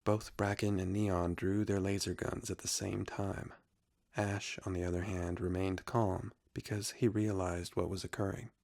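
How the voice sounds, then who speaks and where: A man's voice: sits low, level tone, moderate pace, vocal fry, a little mellow. A man narrating a scripted adventure story.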